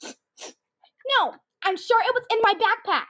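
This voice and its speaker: A young girl acts out a phone-obsessed schoolgirl in a comedic radio play. Her voice is very high and bright, gushing and chatty, quick to turn whiny. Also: lively intonation, exaggerated voice. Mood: upset, whiny. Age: child about twelve.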